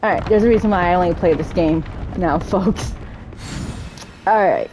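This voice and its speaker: A woman's voice: lowish voice, moderate pace, background noise. A woman casually commentating a video game as its host, chatting and thinking aloud and sometimes reading the on-screen text.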